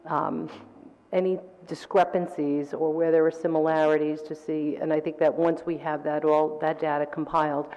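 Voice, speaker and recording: A woman council member with a sore throat gives her reports at a council meeting, speaking in a measured way with fillers and throat clearing. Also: low voice. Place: council chamber. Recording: dull reverberant council chamber audio.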